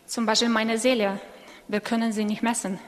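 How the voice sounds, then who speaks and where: A woman with a fairly high voice interprets a sermon live, one phrase at a time. Her delivery is a little halting, with small self-corrections, and the church hall adds long reverb. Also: little pitch movement.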